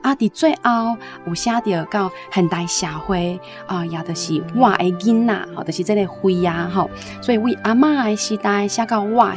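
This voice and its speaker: A woman with a Taiwanese accent giving informal book commentary, often ending phrases with small particles. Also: mid-range voice. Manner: frequent discourse particles at phrase ends. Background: music under the voice.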